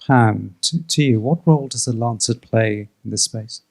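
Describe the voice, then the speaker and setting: Gravelly-voiced male panel moderator. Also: mid-range voice, moderate pace. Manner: brief, questioning.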